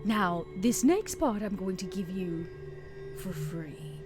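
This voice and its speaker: A woman voicing a calculating vampire envoy in a tabletop game, speaking in a measured, formal, sly way with polite menace. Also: medium pitch, animated, loud music behind the voice. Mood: sly, knowing.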